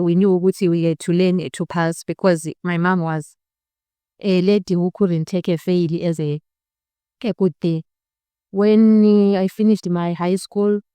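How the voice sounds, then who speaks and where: Clear-voiced young Zimbabwean woman, fairly low. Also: dark tone, no echo, clean studio sound. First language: Ndebele. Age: 20s. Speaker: interview guest. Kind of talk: personal testimony in a documentary-style interview.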